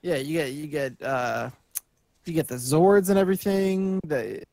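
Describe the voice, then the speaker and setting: A man's voice: on the high side, a little slow, creaky, darkish tone, no room sound. A man co-hosting a casual gaming chat, talking in a blunt, informal way. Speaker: show co-host. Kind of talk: casual video game panel chat.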